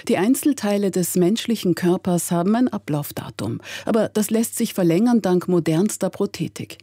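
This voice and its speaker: A woman's voice: lowish voice, warm tone, breathy edge, dry recording, studio quality. A woman with an Austrian accent presenting a scripted radio programme.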